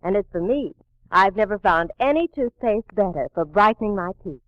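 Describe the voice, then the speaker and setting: An actress playing a cheerful, satisfied housewife giving a testimonial in a 1940s radio advertisement. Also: female voice, mid-range voice, animated, normal speed, natural voice. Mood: pleased. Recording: muffled, dry 1940s radio recording.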